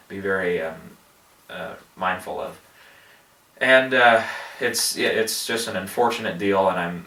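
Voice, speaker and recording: A man with a head cold rambling through movie commentary, halting with many fillers and restarts. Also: sits low, bright, forceful tone, far from the mic. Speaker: movie box office vlogger. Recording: echoey room, voice off-mic.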